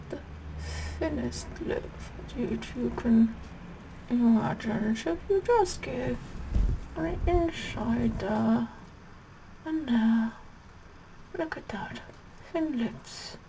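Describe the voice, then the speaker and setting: A woman playing a sassy, vain, bossy queen in an ASMR roleplay, half-whispering in a pompous, deliberate manner. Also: fairly high pitch, vocal fry, background noise, exaggerated voice. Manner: pompous, deliberate, repeats words softly. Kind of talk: ASMR roleplay makeup tutorial, whispered and soft-spoken.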